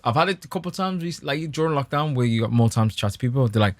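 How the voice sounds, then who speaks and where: A man's voice: medium pitch, animated, racing, no room sound. A man, a podcast host in casual, laid-back chat.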